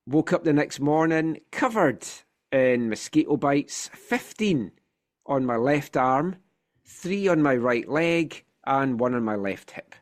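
A man's voice: mid-range voice, expressive, slow. A Scottish man hosting a football podcast in casual chat, telling things with wry animation, slightly congested from a sinus infection. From Scotland.

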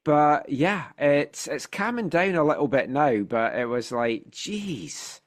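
A man's voice: fairly high pitch, expressive, takes it slowly. A Scottish man hosting a football podcast in casual chat, telling things with wry animation, slightly congested from a sinus infection. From Scotland.

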